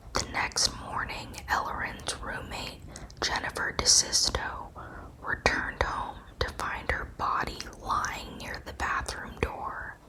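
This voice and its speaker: A woman narrating a script in a hushed, breathy ASMR whisper, with measured delivery. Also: high-pitched, poor audio. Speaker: true crime ASMR narrator.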